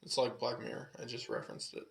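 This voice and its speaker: Gravelly-voiced man rambling casually. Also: mid-range voice, very animated, fast talker. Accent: Californian with slight Southwest tinge. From California. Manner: rambling, lots of fillers and swearing. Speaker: podcast co-host. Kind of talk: casual chat.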